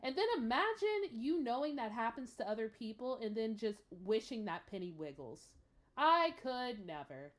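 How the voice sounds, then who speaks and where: Expressive, highish-voiced woman giving reactions. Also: normal speed. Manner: exclamatory, emphatic, repeats for emphasis. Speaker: reaction host. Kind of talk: casual reaction commentary.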